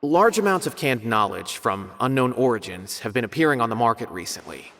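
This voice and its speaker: A man's voice: medium pitch, animated, fairly quick, a bit bright, slight background noise. A man voicing a polite young academy scholar in a video game, earnest and a little hesitant. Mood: serious. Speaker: scholar asking for help. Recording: game audio with music behind.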